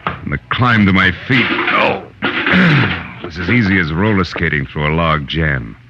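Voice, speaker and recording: A man's voice: deep voice, expressive, unhurried, vocal fry, noisy background, exaggerated voice. An actor playing a wry, hard-boiled private detective in an old-time radio drama, dry and sardonic in his wisecracking delivery. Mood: wry, narrating. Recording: muffled vintage radio recording.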